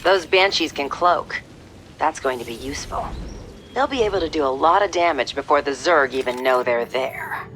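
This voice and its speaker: An actress playing a resolute, cool-headed covert military operative in a video game, with a terse, no-nonsense delivery. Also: female voice, deep voice, animated, moderate pace, fairly bright tone, slight background noise. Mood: focused. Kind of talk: video game cutscene acting.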